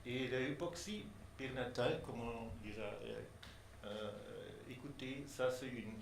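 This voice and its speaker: A man who is not a native French speaker presents a research project, pausing often and restarting his phrases. His voice sits at a middle pitch. The room gives it a roomy echo, with faint noise behind. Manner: halting, many pauses and restarts. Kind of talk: hesitant formal presentation in a second language.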